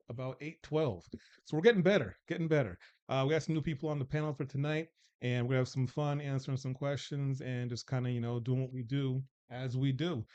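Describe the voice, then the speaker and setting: A man's voice: mid-range voice, a little mellow, clean tone, dull audio. A man in his forties hosting a casual dating panel show, joking and rambling as he reads out a scenario. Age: 40s. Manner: joking, teasing, loose and rambling. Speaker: host.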